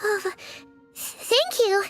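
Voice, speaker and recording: A woman voicing a tiny, excitable, childlike fairy companion in a video game, with a squeaky, earnest delivery. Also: drawn-out words, heavily acted. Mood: relieved, sheepish. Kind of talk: video game cartoon-like voice acting. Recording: echoing, loud game music behind.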